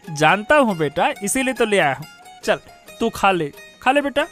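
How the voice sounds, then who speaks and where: A high-pitched village father played by a man in a cartoon moral tale. He is tender, fretful and doting, musing aloud over plucked-string music. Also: big pitch swings, takes it slowly, a bit bright, exaggerated voice. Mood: tender, eager. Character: doting, guilt-ridden poor village father. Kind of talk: Hindi cartoon moral-story acting.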